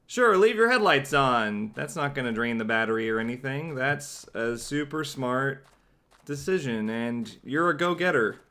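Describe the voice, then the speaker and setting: A man's voice: mid-range voice, lively intonation, moderate pace, pushed and bright. A man giving sarcastic, joking let's play commentary while playing a game. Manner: sarcastic, dry jokes about the game. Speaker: game streamer.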